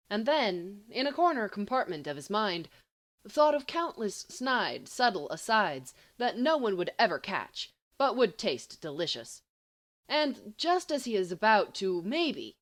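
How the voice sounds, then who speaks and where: A woman reading a story aloud as an amateur audiobook narrator, in an expressive, dramatic and wry manner. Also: mid-range voice. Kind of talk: expressive scripted fiction narration.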